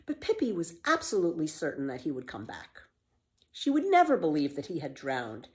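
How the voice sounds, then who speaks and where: A woman reading a children's book aloud as a storyteller, with expressive, emphatic scripted narration. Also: lowish voice.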